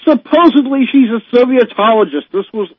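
Exasperated caller on a tinny phone line. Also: male voice, extremely high pitch, lively intonation, brisk, slightly rough, dry recording. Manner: exasperated, emphatic, given to sighs. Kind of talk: casual phone chat.

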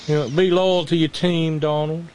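A man's voice: fairly high pitch, fairly even intonation, normal speed, darkish tone. A man hosting a casual political chat, sarcastic and mocking, often restarting his sentences. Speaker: podcast host.

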